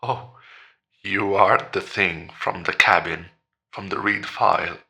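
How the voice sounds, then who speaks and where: A man's voice: low voice, steady tone, slow pace, pushed and bright, exaggerated voice. A man playing a terrified but defiant priest in a horror audio drama, stammering and breathless as he argues. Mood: panicked disbelief.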